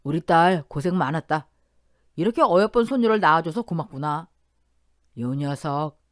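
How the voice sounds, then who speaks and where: A man's voice: high voice, dry recording, clean studio sound. A woman reading the lines of an older father, who talks in a tender, sentimental way about his new granddaughter.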